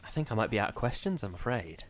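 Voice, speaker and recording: A man's voice: fairly low pitch, sing-song, fast. A young man co-hosting a podcast interview, asking questions hesitantly and making playful jokes. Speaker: podcast co-host. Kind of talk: casual interview chat.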